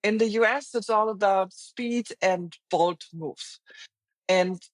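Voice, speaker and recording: A middle-aged woman speaks with a German accent, earnest and reflective, often restarting her sentences as she thinks aloud. Her pitch sits in a middle range. The recording is dry and close, with no room sound. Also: takes it slowly, no echo. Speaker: guest, finance executive. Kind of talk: interview conversation.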